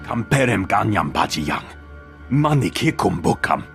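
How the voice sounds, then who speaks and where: Low, animated alien creature. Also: male voice, unhurried, gravelly, soft background music, exaggerated voice. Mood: explanatory. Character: animated male alien speaking an invented tongue. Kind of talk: video game voice acting.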